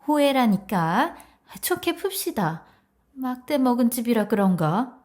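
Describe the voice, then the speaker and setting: A woman's voice: on the high side, expressive, unhurried, a little mellow. A woman playing a brazen, indignant older mother-in-law in a dramatized story, arguing with haughty scorn. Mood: dismissive. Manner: scornful, argumentative.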